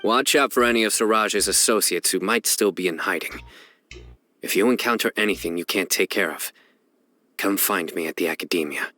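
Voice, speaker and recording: A man's voice: low voice, moderate pace, bright, forceful tone. An actor playing a stern, commanding male officer in a game, curt and authoritative. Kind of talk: game voice acting.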